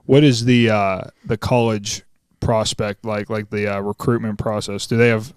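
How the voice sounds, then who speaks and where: A man's voice: on the low side, steady tone, normal speed, clean tone, dry recording, clean studio sound. A man co-hosting a podcast in casual chat, with a jokey, enthusiastic manner. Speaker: podcast host.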